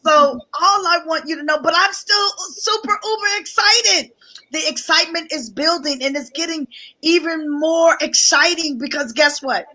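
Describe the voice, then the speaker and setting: A woman with a high, bright voice that has gone a little hoarse. She talks with lively excitement on a live stream, greeting viewers one after another and reading out their comments. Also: normal speed, slight background noise, poor audio. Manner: energetic, repeats greetings, reads out viewer comments. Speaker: guest business coach. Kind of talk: live-stream promotional talk.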